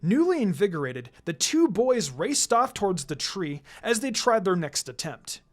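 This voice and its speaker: A narrator reading fan fiction aloud in an expressive, scripted storytelling style. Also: medium pitch, normal speed. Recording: background music under the voice. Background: music.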